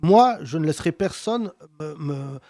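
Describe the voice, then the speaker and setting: A man hosting a heated political debate, talking with passion and emphasis and wide swings in pitch. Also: animated, measured pace, mellow, dry recording, studio quality. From France. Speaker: host.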